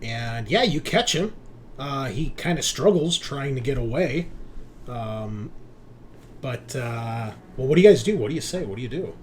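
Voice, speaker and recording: A man narrating a tabletop roleplaying game as game master, describing scenes in a halting, improvised way. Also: medium pitch, lively intonation. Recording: faint background noise.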